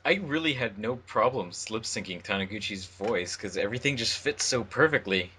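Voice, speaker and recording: A man chats informally as a commentary co-host. His voice sits a little low, yet it has a bright, sharp edge to it. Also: animated, moderate pace, breathy edge. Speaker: editor and voice actor, commentary co-host. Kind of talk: casual commentary chat.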